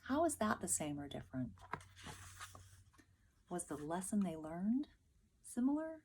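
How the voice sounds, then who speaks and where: A woman's voice: lowish voice, very animated, measured pace, darkish tone, a little hiss or hum, rough recording. A woman teacher reading a picture book aloud expressively, with emphatic delivery and questions for her listeners.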